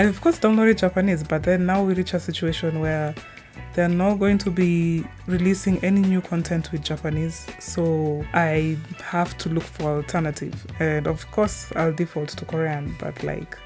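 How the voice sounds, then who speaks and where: A woman's voice: low-pitched, darkish tone. A woman giving a casual, rambling introduction in an informal, somewhat scattered and hesitant way. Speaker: video creator.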